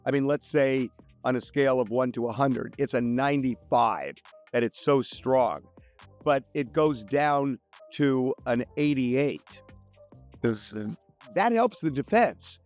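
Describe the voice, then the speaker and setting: Male commentator, mid-range voice, conversational legal explainer who repeats for emphasis, soft music underneath. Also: mid-range voice, expressive, slow pace.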